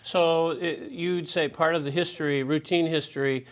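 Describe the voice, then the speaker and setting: A man moderating a medical panel, walking through a patient case slowly with many fillers. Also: on the high side, fairly even intonation, clear, resonant tone.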